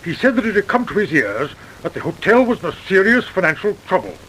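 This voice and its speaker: An actor playing a pompous, indignant British gentleman major who blusters and stammers, in an old-time radio drama. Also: sits high, big pitch swings, fast talker. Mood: blustering, defensive. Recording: noisy vintage radio recording.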